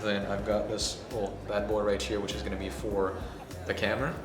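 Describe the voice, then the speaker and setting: Hoarse man vlogging in an echoey room. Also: lowish voice, flat delivery, a little fast, background noise. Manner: casual, swears, talks softly to spare his voice. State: hoarse, recovering from voice loss. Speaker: ads agency owner hosting a workshop. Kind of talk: casual vlog talk to camera.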